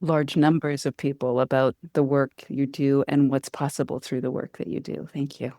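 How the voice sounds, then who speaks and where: A woman's voice: extremely low pitch, level tone, moderate pace, warm tone, dry recording, clean studio sound. A woman co-hosting a conversational interview, introducing and responding to guests. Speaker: podcast co-host.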